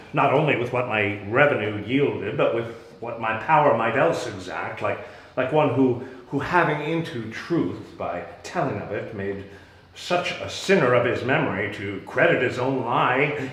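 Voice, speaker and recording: Man acting a wronged exiled duke, bitter, commanding, Shakespearean stage delivery, very slow, echoey room. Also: medium pitch, a bit bright. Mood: brooding, indignant. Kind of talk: Shakespearean dramatic reading.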